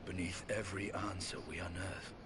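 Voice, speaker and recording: An actor playing a grave, noble knight in a fantasy video game, speaking in formal, archaic phrasing. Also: male voice, sits low, little pitch movement, normal speed, noisy background, close to an everyday voice. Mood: troubled, pondering. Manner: solemn, formal, archaic diction. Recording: voice under loud orchestral game music.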